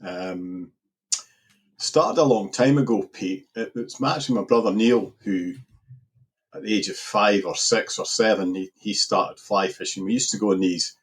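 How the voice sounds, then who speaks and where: A man middle-aged Edinburgh-accented guest, husky and lowish voice, rambling anecdotal podcast talk. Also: a little slow. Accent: Edinburgh Scottish. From Scotland. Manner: rambling, repeats words while searching for them. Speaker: guest angler. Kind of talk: relaxed podcast interview.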